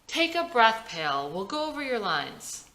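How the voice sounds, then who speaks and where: A woman playing a patient, encouraging father in a script reading, reassuring and gently chiding. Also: fairly high pitch, big pitch swings, measured pace, pitched above the performer's own voice, exaggerated voice. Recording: roomy echo.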